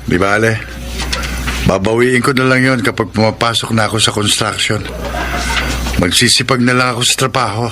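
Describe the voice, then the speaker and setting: A man's voice: fairly low pitch, fairly even intonation, normal speed, pauses often, close to an everyday voice. An actor playing an earnest, honest man seeking work, sometimes hesitant, in a Filipino radio drama. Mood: resigned. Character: Nestor, earnest jobless man, honest and hard-working.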